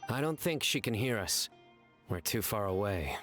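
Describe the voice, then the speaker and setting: A man voicing a calm, earnest young adventurer in a video game, speaking in a measured, inquisitive way. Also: lowish voice, music in the background. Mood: calm, curious.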